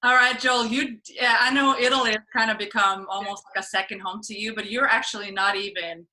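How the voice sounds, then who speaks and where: A woman's voice: medium pitch, fairly even intonation, a little fast, poor audio. A woman hosting a casual interview, asking questions and responding in an encouraging way. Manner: warm, encouraging, laughs often. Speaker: interviewer host.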